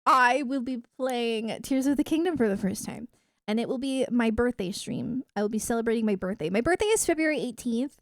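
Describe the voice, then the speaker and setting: High-voiced woman chatting on a livestream. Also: no echo, clean studio sound. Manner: chatty, enthusiastic gamer talk with emphatic repeats. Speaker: video game livestreamer. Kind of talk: casual livestream chat with viewers.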